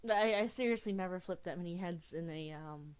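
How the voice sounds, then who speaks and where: A young woman with a slightly low voice talks her way through an online card game match. She has a cold and sounds a bit sniffly. Her delivery is chatty and casual, full of small giggles and self-corrections. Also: a little fast, clear, resonant tone. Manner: chatty, giggly, restarts often. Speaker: gaming youtuber.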